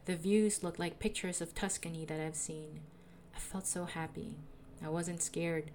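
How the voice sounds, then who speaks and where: Soothing, lower-voiced young woman. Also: measured pace, a little hiss or hum. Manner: calm, reflective, confessional. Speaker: podcaster. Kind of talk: personal diary narration.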